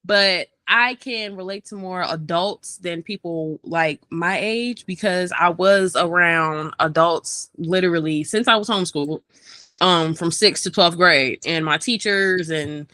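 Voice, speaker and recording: Bright, chatty young woman. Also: lowish voice, no room sound. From Maryland, USA. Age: young adult. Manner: animated, chatty, laughs often. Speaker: interview guest, rapper. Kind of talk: casual interview chat.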